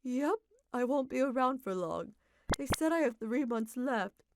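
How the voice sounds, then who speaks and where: A woman's voice: high voice, animated, a little slow, background noise, pitched above the performer's own voice. A woman voicing a stern, stoic middle-aged mother who speaks bluntly and dismissively in a manga dub. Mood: flat, cold.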